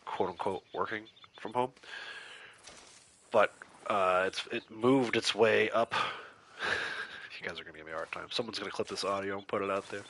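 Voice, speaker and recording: A man's voice: lowish voice, fairly bright tone, a little hiss or hum. Congested, nasal male game streamer. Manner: chatty, reads out and answers viewer chat, laughs easily. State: congested from a cold, a bit nasal. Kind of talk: casual livestream chat while playing.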